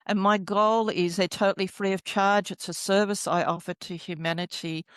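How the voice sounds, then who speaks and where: A middle-aged woman, a healer guest, telling her story in a soft, rambling way as she searches for her words. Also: medium pitch, fairly even intonation, moderate pace, clean tone, no echo. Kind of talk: reflective storytelling interview.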